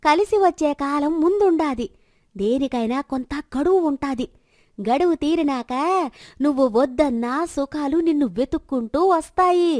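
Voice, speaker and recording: A woman's voice: high voice, expressive, moderate pace, darkish tone, no echo, studio quality. A woman voicing a wandering village fortune-teller who coaxes in rustic dialect with endearments and solemn prophecies in a moral story. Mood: solemn, prophetic.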